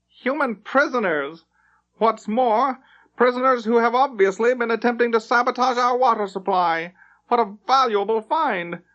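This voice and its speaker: A man's voice: very high voice, normal speed, fairly bright tone, muffled recording, voice pushed up. A man voicing a haughty, self-important elven ship captain with a sneering, condescending delivery in a fantasy audiobook. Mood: gloating. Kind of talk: audiobook character acting in a fantasy story.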